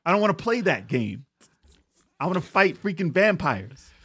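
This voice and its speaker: An animated man co-hosting a casual video game podcast. His voice sits slightly high and swings widely in pitch as he delivers opinionated, jokey banter. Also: moderate pace, slightly rough. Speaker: podcast host.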